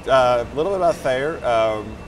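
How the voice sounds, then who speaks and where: A man in his forties giving measured, halting interview answers as a trade association president. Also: mid-range voice, expressive, a loud crowd. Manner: halting, measured, searching for words. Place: trade show booth, indoors. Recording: noisy trade show floor, slightly distant mic.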